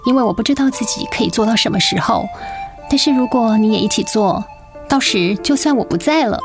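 Guarded, weary Taiwanese wife over music. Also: female voice, on the high side, expressive, a little fast. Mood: tentative, opening up. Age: 40s. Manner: restrained, guarded. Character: weary, guarded wife in her forties, emotionally withdrawn. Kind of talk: Mandarin radio drama acting. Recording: music bed under the voice.

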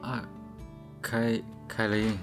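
A man's voice: lowish voice, completely flat delivery, slow, over music. A man with a Taiwanese Mandarin accent playing a whiny, flustered young dorm resident in a podcast radio drama.

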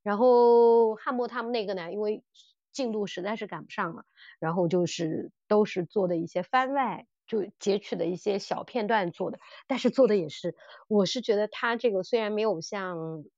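A woman's voice: medium pitch, moderate pace, darkish tone, no room sound. Dull-sounding dry recording of a chatty woman. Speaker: podcast co-host. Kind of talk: casual chat about audio dramas.

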